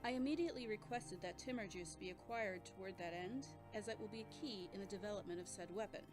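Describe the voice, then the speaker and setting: Brisk, formal female weapons scientist played by an actress, composed and persuasive, slightly low voice, clear music underneath. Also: lightly acted. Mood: composed, businesslike. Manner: formal, matter-of-fact, persuasive. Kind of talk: audio drama acting.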